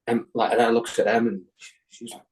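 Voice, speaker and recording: A man's voice: mid-range voice, level tone, fast, poor audio. A man from England, a podcast guest, telling a rambling anecdote in casual chat, swearing constantly and restarting his sentences with ums and likes.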